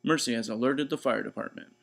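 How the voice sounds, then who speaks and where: A man's voice: mid-range voice, fairly quick, vocal fry. A man voicing an emphatic husband with shaky confidence in a children's storybook. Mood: declarative. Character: husband who declares things with shaky confidence.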